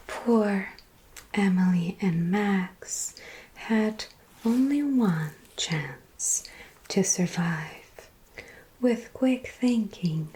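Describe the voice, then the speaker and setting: A woman whispering scary bedtime stories in a soft ASMR roleplay as a vampire storyteller. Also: on the low side. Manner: soft unvoiced whisper, slow and drawn-out.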